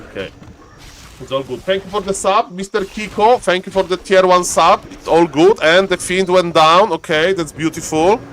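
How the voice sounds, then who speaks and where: Polish guy casting a live game, high voice, chatty and excited, reads his chat. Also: normal speed, slight background noise. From Poland. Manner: chatty, excitable, answers chat, laughs often. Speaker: game caster.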